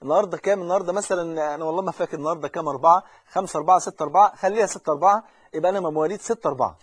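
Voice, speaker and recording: A man with an Egyptian accent preaches a religious lesson at a very rapid clip, his voice fairly high and husky. He speaks with fervent urgency, pressing the listener directly and often repeating or restarting phrases. The recording is dry and close. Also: no room sound. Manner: frequent repetitions and restarts.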